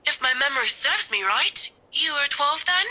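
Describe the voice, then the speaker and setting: A woman acting as a warm, wistful middle-aged mother in a video game, affectionate and gently coaxing. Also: high-pitched, expressive, normal speed, heavily acted. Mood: fond, wistful.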